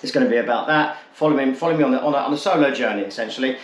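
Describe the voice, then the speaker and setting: Guy late 40s chatting to camera, mid pitch, rambly and open, echoey room. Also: very fast. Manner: candid, rambling, repeats and rephrases himself. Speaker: vlogger introducing his channel. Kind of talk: casual talk to camera.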